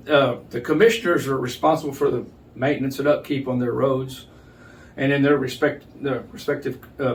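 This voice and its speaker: An older male official answers interview questions in plain, measured words, stopping and restarting as he explains. His pitch is average. The mic is a little way off and there is light background noise. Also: far from the mic. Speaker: county judge, interview guest.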